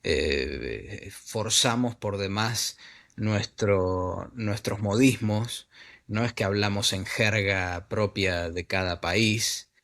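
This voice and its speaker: A man with a low, Argentine Spanish voice, speaking as an actor in an interview. He talks in a relaxed, unhurried way, often repeating words and pausing with fillers while he works out his thoughts. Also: creaky. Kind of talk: casual conversation.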